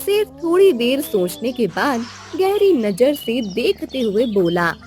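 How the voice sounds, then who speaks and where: A woman narrating a scripted children's story in an expressive storytelling manner. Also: on the high side, takes it slowly, faint music behind.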